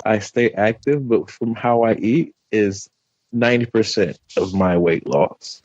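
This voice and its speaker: A man talking casually as a podcast guest, playful and relaxed. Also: a little slow, no room sound, lo-fi sound. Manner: playful, jumps into a high hype voice at times. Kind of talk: casual conversation.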